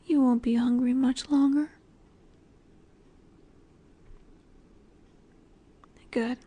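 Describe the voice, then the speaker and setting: A woman playing a dominant, nurturing snake-woman monster in an intimate roleplay audio, speaking in a gentle, coaxing way that often sinks toward a whisper. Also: sits high. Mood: tender, doting.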